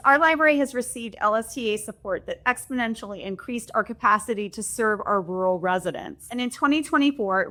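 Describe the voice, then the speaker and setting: A woman, a library development director, hosting the discussion and reading prepared remarks in a measured, formal way. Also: a little high, sharp tone.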